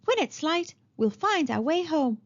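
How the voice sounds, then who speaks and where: A brave little storybook boy, voiced by a woman, earnest and comforting. The voice is high with lively rises and falls, and the recording is a bit muffled. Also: unhurried, dry recording, full character voice. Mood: reassuring. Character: brave, reassuring young boy in a fairy tale.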